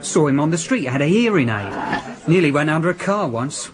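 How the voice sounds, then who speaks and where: A man's voice: fairly high pitch, sing-song, brisk, crowd noise. An actor playing a casual, blunt male barman at a music pub in a radio drama. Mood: offhand, blunt. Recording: music behind the voice.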